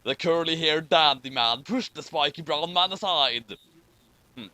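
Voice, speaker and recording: A man with a high, bright voice reads a story aloud and comments as he goes. He is animated and mock-dramatic, with an exasperated amusement, and sounds close and dry. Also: measured pace, no room sound. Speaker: reader and commentator of a fan fiction.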